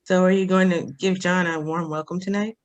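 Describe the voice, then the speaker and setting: Casting: actress as breezy, bantering female friend, slightly low voice, table-read drama. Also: steady tone, fairly quick, lo-fi sound, natural voice.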